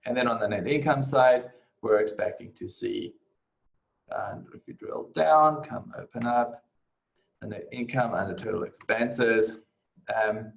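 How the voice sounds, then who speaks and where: Guy with a lowish gravelly voice walking thru software on screen, kinda halting, keeps checking in with little tag questions. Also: low-quality recording. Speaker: software instructor. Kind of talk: informal screen-share software tutorial.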